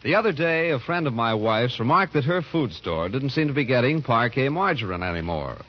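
A man's voice: mid-range voice, lively intonation, a little fast. An American man announcing in the style of 1940s radio, delivering scripted sponsor messages and credits. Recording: muffled 1940s radio recording.

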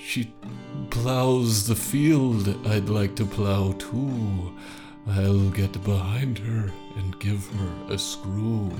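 A man co-hosting a comedy podcast, talking in a deadpan, mock-formal way through improvised banter. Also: on the low side, drawn-out words, over music.

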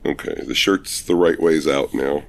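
A man co-hosting a casual podcast, his voice husky and hoarse from a cold, talking in a laid-back, rambling, self-mocking way. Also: a bass voice, steady tone, normal speed. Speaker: podcast co-host.

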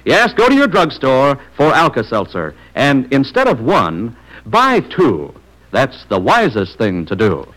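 A man delivering a scripted 1940s radio commercial as an announcer, with emphatic, measured salesmanship. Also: mid-range voice, huge pitch swings, a bit bright, poor audio.

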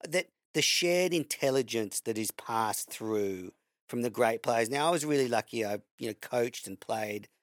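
A man's voice: mid-range voice, expressive, unhurried, no room sound, studio quality. A man hosting a podcast, asking questions in an encouraging, conversational way. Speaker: podcast host. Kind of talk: casual podcast interview.

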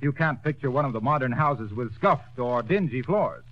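Male announcer, declamatory old-time ad read, lively pitch, lo-fi radio sound. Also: normal speed. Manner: polished, declamatory sales delivery. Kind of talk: 1930s radio commercial and show announcing. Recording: dull old radio broadcast recording.

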